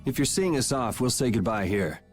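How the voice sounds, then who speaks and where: A man's voice: mid-range voice, animated, brisk, soft background music, lightly acted. A man voicing a cool, sardonic young swordsman in a video game, laid-back, wry and teasing. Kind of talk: English video game dub acting.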